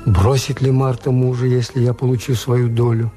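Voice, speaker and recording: An actor playing a reserved, wary male hotel owner who narrates and speaks in a restrained, measured way in a radio drama. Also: mid-range voice, flat delivery, warm tone, close to an everyday voice. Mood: brooding narration. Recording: Soviet radio play with music underneath.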